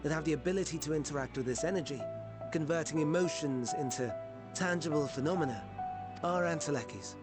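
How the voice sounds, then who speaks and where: A man's voice: fairly high pitch, brisk, natural voice. An actor playing a learned, courteous male scholar in a game cutscene, lecturing in old-fashioned speech. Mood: explaining. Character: learned archaic male scholar, genteel and kindly. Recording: game audio with music behind.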